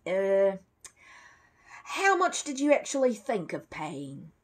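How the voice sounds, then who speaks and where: Woman acting a fussy male storybook dealer, grudging, sarcastic, animated pitch, husky tone. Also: slow pace. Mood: reluctant, coaxing. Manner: sarcastic, reluctant, haughty.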